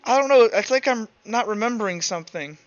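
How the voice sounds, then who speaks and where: Man playing an eager high-voiced young guide. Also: expressive, lo-fi sound. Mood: unsure, halting. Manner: halting, earnest, easily impressed.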